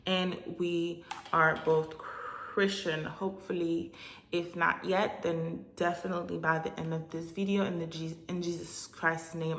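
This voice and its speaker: Woman from Hispaniola, Haitian Creole native speaker; lowish voice, slow and halting delivery, echoey room. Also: a bit bright. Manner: halting, many pauses and filler sounds. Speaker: religious vlogger. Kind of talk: direct-to-camera prophetic message.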